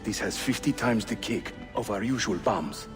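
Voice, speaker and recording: An actor playing a jovial, roguish rebel assassin in a video game, playful and theatrical. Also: male voice, lowish voice, normal speed, music in the background, close to an everyday voice. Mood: jovial, teasing. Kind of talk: dramatic video game acting.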